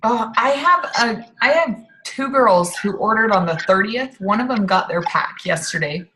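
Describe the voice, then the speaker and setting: A woman's voice: medium pitch, expressive, normal speed, noisy background. A woman in her early thirties, enthusiastic and casual on a group video call. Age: 30s. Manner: enthusiastic, says "dude".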